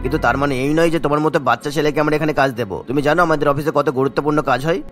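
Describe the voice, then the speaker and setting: A man's voice: a little high, rapid-fire, faint music behind, lightly acted. A man playing a pompous, scornful office boss in a cartoon, lecturing haughtily and dropping in English business words. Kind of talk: cartoon character acting.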